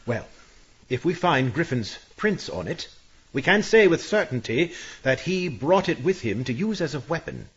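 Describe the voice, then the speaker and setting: A police inspector in a radio mystery, with a fairly high, husky man's voice. He is official and put-upon, in slightly dull sound. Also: sing-song, normal speed, fairly bright tone, heavily acted. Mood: official, reassuring. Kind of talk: radio mystery drama acting.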